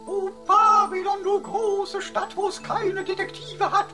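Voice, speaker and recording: A woman's voice: extremely high pitch, little pitch movement, a little slow, music in the background. A woman playing a cheeky, long-winded know-it-all talking pocket computer in a German radio play, mocking and mock-pompous. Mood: mock-solemn.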